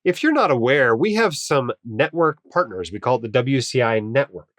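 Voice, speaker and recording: A male podcast host talks in an upbeat, casual monologue, his voice a touch nasal from a cold. He fills pauses with frequent "uh"s, and his mid-range voice sounds close and dry. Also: medium pitch, very animated, a bit bright, no echo. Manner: upbeat, chatty, frequent "uh" fillers. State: has a cold, slightly nasal.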